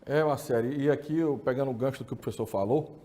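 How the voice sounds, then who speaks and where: A man's voice: mid-range voice, quick pace, warm tone. A man from Fortaleza with a Cearense Brazilian Portuguese accent, hosting a political talk show in a conversational way, often tagging "né". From Fortaleza, Ceará, Brazil. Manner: conversational, frequent "né" tags.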